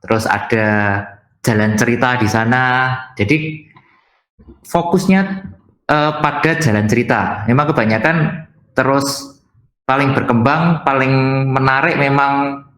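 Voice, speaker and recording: Man, mid-pitched voice, halting explanatory delivery, workshop instructor, roomy echo, guitar music behind. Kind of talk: informal online tutorial. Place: indoor room on an online video call. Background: soft guitar music.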